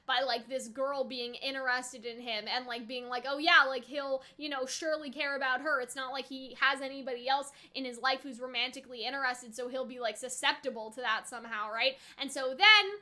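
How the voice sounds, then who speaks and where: A woman's voice: high voice, steady tone, fairly quick, few pauses, bright, forceful tone. A young adult woman giving casual reaction commentary in an informal, slangy way, often tossing in rhetorical questions. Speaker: reaction video host.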